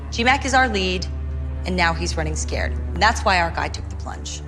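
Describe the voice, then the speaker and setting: An actress playing a cool, adamant undercover operation handler in a video game cutscene. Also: female voice, lowish voice, expressive, normal speed, natural voice. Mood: firm, insistent. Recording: music clearly behind the voice.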